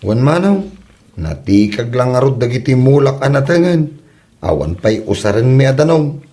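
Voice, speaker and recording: A man's voice: mid-range voice, big pitch swings, moderate pace, warm tone, natural voice. A man playing a whiny, self-pitying younger farmer with an Ilocano Filipino accent in a comedy drama, complaining in a plaintive way. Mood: whining.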